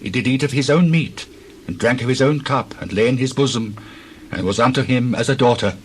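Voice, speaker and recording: A man's voice: medium pitch, brisk, close to an everyday voice, rough recording. Man as a solemn storytelling prophet, ambient noise behind. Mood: grave. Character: solemn male prophet telling a parable to a king. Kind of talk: dramatized scripture storytelling.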